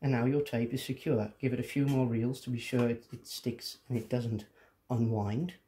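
A man's voice: mid-range voice, steady tone, moderate pace, mellow. A man presenting a casual hands-on tutorial, explaining things step by step.

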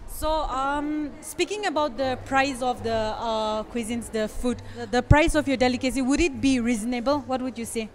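A woman's voice: high voice, moderate pace, few pauses, slight background noise. A young woman TV reporter interviewing a man in a restaurant, speaking conversationally with many fillers. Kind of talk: field news interview. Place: indoor restaurant. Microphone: handheld mic.

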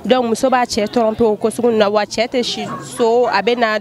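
A woman's voice: fairly high pitch, flat delivery, fairly quick, darkish tone, some chatter behind. A young woman with a Malian accent, answering a street interviewer with chatty, giggly replies, outdoors on a handheld mic. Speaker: passer-by.

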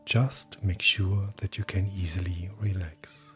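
A man speaking as a hypnotherapist, guiding sleep hypnosis in a calm, gentle, breathy voice that often nears a whisper. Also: low-pitched, slow. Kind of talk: guided hypnosis, soothing scripted narration. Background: soft background music.

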